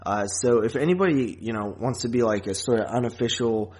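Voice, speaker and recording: A man hosting a podcast in a casual, rambling, halting chat style with frequent asides. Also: medium pitch, a little mellow. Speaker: podcast host.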